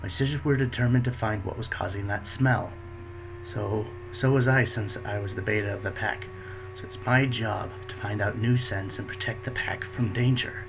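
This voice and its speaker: A man narrating in the first person as a protective female raptor, the beta of her pack, in a steady storytelling way. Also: fairly low pitch, gravelly, noisy background.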